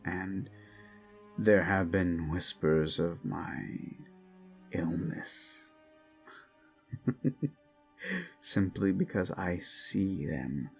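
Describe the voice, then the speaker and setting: An actor playing an unhinged, paranoid, possessive young prince who speaks with soft menace, breaks into unsettling laughter and drops into whispers. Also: male voice, sits low, creaky, exaggerated voice. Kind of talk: audio roleplay drama.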